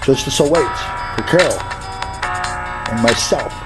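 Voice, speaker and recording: A man's voice: medium pitch, unhurried, over loud music. A man narrating his own case in a personal, dramatized video style.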